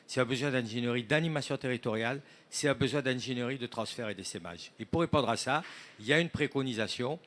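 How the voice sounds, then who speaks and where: Guy on a conference panel going through a report, medium pitch, lists stuff with emphasis. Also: fast talker, fairly bright tone. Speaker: panel speaker presenting a report. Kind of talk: conference panel talk, semi-prepared. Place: conference room, speaking to an audience.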